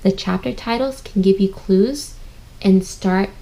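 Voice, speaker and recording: A woman's voice: medium pitch, unhurried, mellow, poor audio. A woman teaching a children's read-aloud reading lesson, addressing her listeners directly and thinking aloud.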